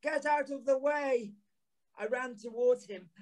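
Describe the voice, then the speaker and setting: A man acts out a troubled gentleman narrating an audio drama. He is earnest and haunted, and he takes his words slowly, with deliberate dramatic weight. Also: very high voice. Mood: panicked. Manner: deliberate, dramatic, halting repetitions.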